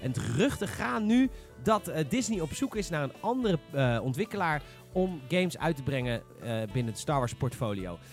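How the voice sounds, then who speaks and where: Male podcast host, high-pitched, lively, frank and opinionated, casual chat. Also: normal speed, few pauses, soft background music.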